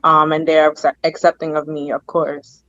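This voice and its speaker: A woman's voice: sits low, completely flat delivery, moderate pace, fairly bright tone. A woman in her twenties, a guest in a casual group chat, matter-of-fact. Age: 20s. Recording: dull dry lo-fi sound.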